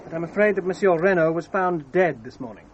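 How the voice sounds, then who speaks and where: A curt French policeman on guard in a radio play. His voice is high for a man and formal, heard a little muffled over faint street noise. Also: lively intonation, a little fast. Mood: officious. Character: stiff, officious French male policeman on guard. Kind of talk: radio drama acting.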